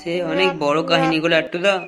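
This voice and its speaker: A man's voice: a little high, little pitch movement, quick pace, over music, close to an everyday voice. A man voicing a meek, downcast young fugitive in a comic cartoon, resigned in manner. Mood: weary, resigned.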